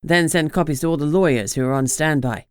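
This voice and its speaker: A woman voicing a gruff, furious male leader who growls clipped orders. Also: low-pitched, fairly quick, no room sound, studio quality, voice pushed down, close to an everyday voice. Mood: commanding.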